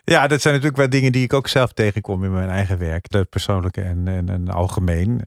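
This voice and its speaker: Male podcast panelist, artist type, lowish voice, casual conversation, dry close sound. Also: a little fast, no room sound. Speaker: artist, theatre maker.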